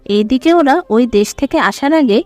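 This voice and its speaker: A woman's voice: sits high, moderate pace, darkish tone, dry recording, soft background music. A woman narrator reading a scripted dramatic story.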